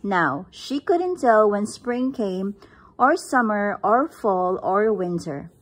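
A woman reads a picture book aloud in a gentle, breathy voice at a very slow, careful pace. Her pitch sits in a middle range, and she sounds like a parent sharing a story at home. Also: expressive. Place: indoors, at home.

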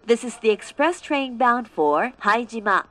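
Slow, fairly high female train announcer. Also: lively intonation, fairly bright tone. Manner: formal, carefully enunciated. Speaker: English-language train announcement voice. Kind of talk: prerecorded scripted announcement.

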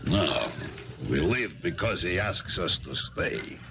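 Very deep-voiced, cryptic fortune-teller. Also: male voice, sing-song, creaky, exaggerated voice. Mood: commanding. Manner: slow, portentous, commanding. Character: suspicious, proud Romany fortune-teller, cryptic and brusque. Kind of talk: 1970s radio drama acting. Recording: dull, noisy 1970s radio recording.

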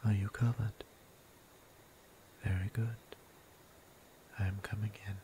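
Man acting a hushed, low-key, gentle vampire priest. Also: little pitch movement. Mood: polite, gentle. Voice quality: breathy, hushed, half-whispered. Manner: formal, archaic, tender, unhurried phrasing. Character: gentle, courtly male vampire priest tending a patient. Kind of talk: ASMR roleplay, soft-spoken and whispered. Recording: clear background noise and ambience.

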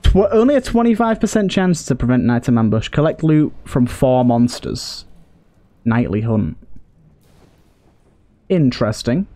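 A man's voice: medium pitch, lively intonation, a little slow, pauses often, warm tone. A man giving casual let's play commentary, pleading with and exclaiming at the game characters as he talks. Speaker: gaming video host and player.

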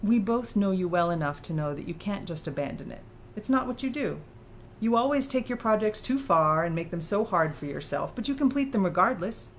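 Woman as incisive female author, mid-pitched, pointed, articulate, audiobook dialogue, light background noise. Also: animated, a little fast, natural voice. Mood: pressing, insistent. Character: sharp, probing female author challenging her own creator.

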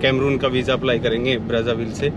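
A man's voice: medium pitch, little pitch movement, fast. A man in his thirties talking casually to a handheld camera as a travel vlogger, chatting from inside a car. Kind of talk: casual travel vlog narration. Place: inside a car and in city streets. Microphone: action camera at arm's length. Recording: loud traffic and street noise.

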